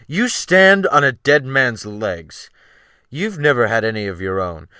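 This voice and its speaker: A man performs a domineering sea captain in a storybook drama. He speaks with imperative, mocking scorn, forceful and animated, in a close, dry recording. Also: medium pitch, no echo. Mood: scornful. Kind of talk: dramatic audiobook character acting.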